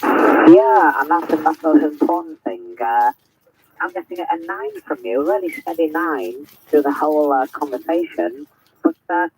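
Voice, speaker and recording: Ham radio operator, 50s man, husky, rambling and hesitant, somewhat high. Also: low-quality recording. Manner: chatty, hesitant, full of restarts. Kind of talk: ham radio contact chat.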